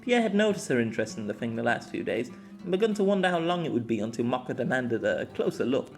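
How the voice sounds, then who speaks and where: A man's voice: mid-range voice, big pitch swings, fast talker, vocal fry, soft background music. A man narrating a scripted story as an amateur audiobook reader.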